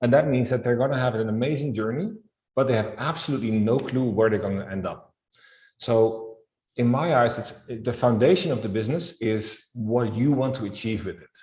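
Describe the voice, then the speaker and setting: A man's voice: medium pitch, fairly quick. Dutch-accented man in a roomy space. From Netherlands. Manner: structured, calm, explanatory. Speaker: guest, e-commerce accounting expert. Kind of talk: interview answers.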